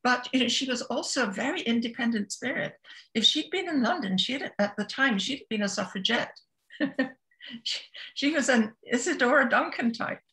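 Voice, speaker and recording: A woman's voice: fairly high pitch, animated, moderate pace, fairly bright tone, low-quality recording. Older Scottish-born woman, reflective interview guest. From Scotland. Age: 70s. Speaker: interview guest, author and therapist. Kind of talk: reflective conversational storytelling.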